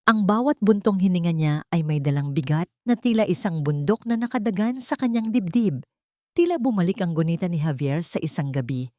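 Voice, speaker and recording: A woman narrating an audio drama in a measured, dramatic storytelling manner. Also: on the low side, lively intonation, warm tone, dry recording, clean studio sound.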